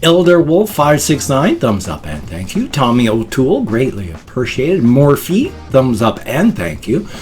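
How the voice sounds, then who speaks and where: Middle-aged American male vlogger, unscripted and dorky, animated pitch, light music underneath. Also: a little slow, hardly any pauses. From United States. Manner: rambling, dorky. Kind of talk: casual unscripted vlog chat.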